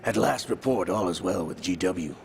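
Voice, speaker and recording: Deep, very gravelly sinister henchman. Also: animated, normal speed, slight background noise. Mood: sly, scheming. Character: sly, sinister male henchman of a villain, theatrical and menacing. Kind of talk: dramatic video game cutscene acting.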